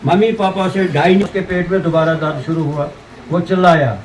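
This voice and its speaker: A man's voice: on the high side, steady tone, normal speed. A man reading a children's story aloud to an audience in a hall. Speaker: writer reading own story. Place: festival hall indoors. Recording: distant, echoey, noisy hall PA.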